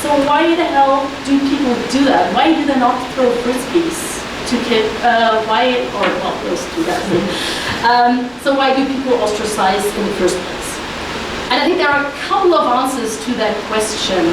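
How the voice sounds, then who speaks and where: A woman with a German accent giving an informal, enthusiastic conference talk as an academic researcher. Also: a little high. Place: indoor conference room. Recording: very echoey hall, distant mic, hissy noise.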